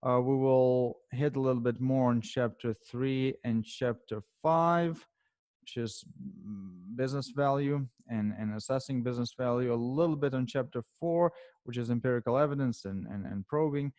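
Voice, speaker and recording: Ukrainian-accented man, halting, dry close sound. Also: medium pitch, darkish tone, no echo. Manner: halting, many fillers and restarts. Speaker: guest author and consultant. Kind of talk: podcast interview answers.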